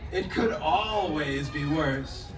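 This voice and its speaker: A man playing a wry village rabbi in a live puppet show, measured and knowing, drawing out his words. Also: fairly high pitch. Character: wry, unhurried village rabbi, a male sage. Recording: echoey live show, distant mic.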